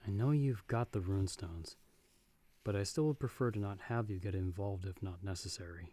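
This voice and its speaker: A man acting in an ASMR audio roleplay as a seasoned, magic-wielding monster hunter, talking the listener through danger in a measured, dry, reassuring way. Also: deep voice, dark tone. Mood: calm, explanatory. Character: calm, seasoned male monster hunter with magic, guiding a partner.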